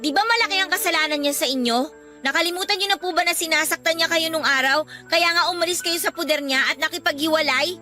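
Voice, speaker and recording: A woman's voice: extremely high pitch, fast talker, sharp tone, soft background music. A woman playing a hurt, defiant daughter in a radio drama, indignant and confrontational. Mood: indignant, bitter. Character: hurt, defiant daughter rejecting her returning father. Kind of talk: Tagalog radio drama acting.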